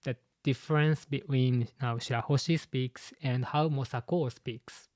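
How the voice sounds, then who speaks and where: Halting Japanese-accented man, dry sound. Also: medium pitch, steady tone, darkish tone, no echo. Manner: halting, full of "you know" fillers and restarts. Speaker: channel host and translator. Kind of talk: live-stream commentary.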